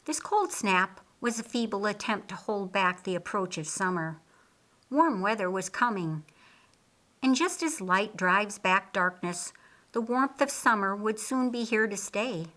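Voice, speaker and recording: A woman narrating a scripted story as an audiobook, reading deliberately and expressively. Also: medium pitch, sharp tone.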